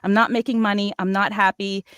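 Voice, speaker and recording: A woman's voice: medium pitch, little pitch movement, brisk, a bit bright, clear, resonant tone, no echo, lo-fi sound. A middle-aged American woman telling personal stories casually, in a playful, chatty way that often interrupts itself. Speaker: voice actor on a cast panel.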